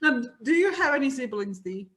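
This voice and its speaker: A woman asks quick, teasing questions in a casual interview. Her voice is high and lively, rising and falling a lot. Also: a little hiss or hum.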